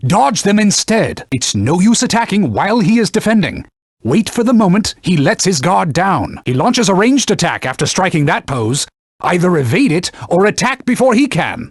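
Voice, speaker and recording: Video game casting: pompous talking sword, stern knightly mentor, high male voice, highly animated delivery, scolding combat instructions. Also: breathy edge, no echo, clean studio sound, heavily acted. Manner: pompous, scolding, archaic formal diction. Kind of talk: video game voice acting, combat tutorial barks.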